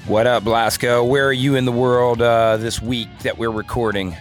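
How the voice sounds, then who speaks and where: A man's voice: medium pitch, level tone, moderate pace, soft background music. A man co-hosting a podcast, chatting casually in a laid-back, slangy way. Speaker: podcast co-host. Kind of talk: casual chat.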